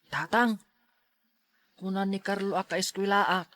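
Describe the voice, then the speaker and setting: A woman's voice: medium pitch, measured pace, fairly bright tone, close to an everyday voice. A woman playing a timid, easily frightened schoolboy with a fretful, whiny delivery in an Ilocano radio drama.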